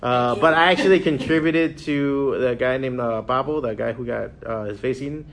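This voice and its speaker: A man's voice: medium pitch, normal speed, noisy background. A man from the Miami area, a comics creator chatting casually on a convention panel and acting out other people's lines as he tells stories. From Miami area, USA. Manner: animated storyteller who acts out quotes.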